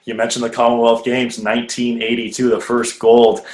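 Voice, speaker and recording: A male podcast host with a mid-pitched voice asks friendly interview questions and often answers with short affirmations. His voice has a noticeable roomy echo. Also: monotone, normal speed, darkish tone. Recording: echoey room.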